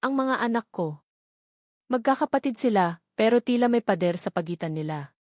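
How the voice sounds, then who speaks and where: A woman's voice: on the high side, lively intonation, normal speed, darkish tone, dry recording, studio quality. A woman reading a scripted first-person romantic drama as a story narrator.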